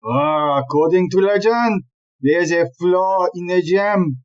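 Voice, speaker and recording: A slightly dull recording of an audio drama. A woman plays a male police chief inspector, smooth-talking and dismissive, a philanderer who speaks slowly in a lowish register. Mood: composed. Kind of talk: audio play acting.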